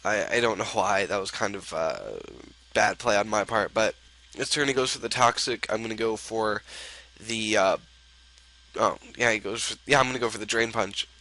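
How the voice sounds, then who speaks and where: Young male gaming host, sick and stuffy-nosed, rambling casual commentary, lowish voice with a bright edge. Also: normal speed, rough recording. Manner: rambling, filler-heavy, laid-back.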